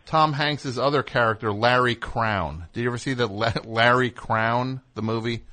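Excitable rambling male radio host. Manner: excitable, rambling, jumps between high and low. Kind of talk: casual comedic phone chat. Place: radio studio.

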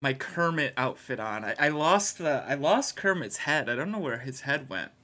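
A man's voice: mid-range voice, lively intonation, moderate pace, bright, forceful tone. A man co-hosting a podcast, chatting casually about sports. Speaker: podcast co-host.

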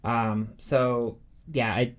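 Guy cohosting a podcast, average pitch, casual and into what he's recommending. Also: level tone, extremely slow, a crowd in the background. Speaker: podcast co-host. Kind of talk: casual book chat.

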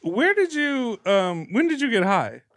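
A man's voice: extremely high pitch, very animated, measured pace, a bit bright. A man co-hosting a comedy podcast with casual, bantering talk. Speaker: podcast co-host.

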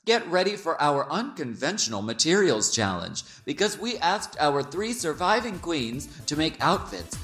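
Woman as low, grandiose, bitchy drag show host. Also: sing-song, fairly bright tone, slightly rough, soft background music, exaggerated voice. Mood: catty. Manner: theatrical, drawn-out announcing. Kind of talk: parody reality-show hosting in radio play.

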